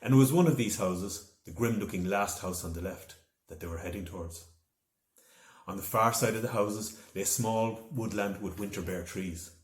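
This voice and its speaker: Low-voiced man reading a story, echoey room. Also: normal speed. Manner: expressive, dramatic storytelling. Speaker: author reading from own novel. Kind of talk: children's storybook narration.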